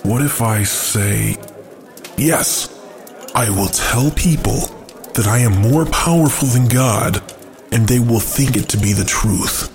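A man playing a brooding, cunning angel who plots rebellion, speaking in a measured, musing way in an audio drama. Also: mid-range voice, faint music behind.

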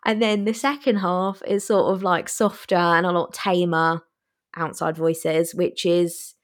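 A woman's voice: fairly low pitch, flat delivery, unhurried. Chatty woman reviewing music. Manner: chatty, enthusiastic, laughs often. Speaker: podcast co-host. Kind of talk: casual music review chat.

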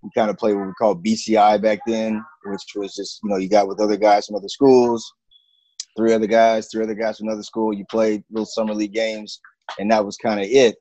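A man, a basketball coach, talking casually in an interview, relaxed and halting with many fillers. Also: fairly low pitch, dry recording, rough recording.

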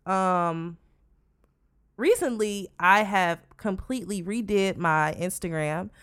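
A woman's voice: mid-range voice, slow, a bit bright, clean tone, no room sound, clean studio sound. A woman in her late twenties co-hosting a podcast, talking casually and bluntly with frequent swearing. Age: 20s. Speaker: podcast co-host. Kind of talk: casual chat.

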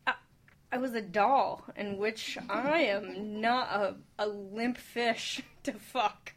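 A woman's voice: mid-range voice, slow pace. A woman chatting candidly and bawdily as a panelist on a radio talk show. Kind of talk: candid, bawdy casual chat.

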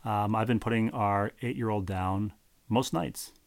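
A man's voice: lowish voice, fairly even intonation, a little slow, dry recording. A middle-aged man chatting casually as a podcast co-host, ribbing his partner with dry jokes. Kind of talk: casual chat.

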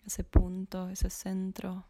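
A woman slowly guiding a body scan meditation in a soft, half-whispered voice. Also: medium pitch, monotone, no room sound. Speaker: meditation guide.

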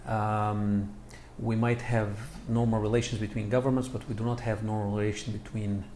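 A man from Egypt, a scholar of Islam, answering interview questions with many pauses and fillers. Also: fairly low pitch, flat delivery, warm tone, roomy sound, a little hiss or hum. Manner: halting, many fillers and restarts.

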